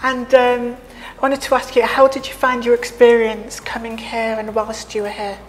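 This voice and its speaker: Higher-voiced young woman asking questions. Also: little pitch movement, moderate pace, a little hiss or hum. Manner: friendly, many "yeah" backchannels. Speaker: interviewer, hospital staff. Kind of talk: casual interview questions. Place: large bright hall with wood screens. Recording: reverberant hall, faint ambience.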